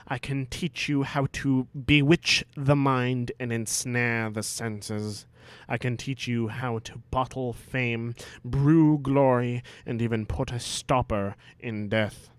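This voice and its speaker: A man voicing a stern, irritable potions professor in a haughty, deliberate, theatrical way. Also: mid-range voice, clear, resonant tone, no echo, lightly acted.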